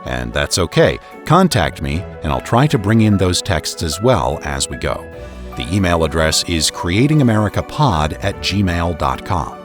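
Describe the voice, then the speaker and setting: An American man with a trained voice-actor delivery, reading scripted historical narration in a measured, deliberate, formal way. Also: low-pitched, sing-song, over music. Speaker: podcast host and narrator.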